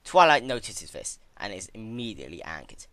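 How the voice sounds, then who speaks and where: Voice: medium pitch, very animated, fairly quick, fairly bright tone, dry recording. A narrator giving a dramatic, scripted reading of a fan fiction story.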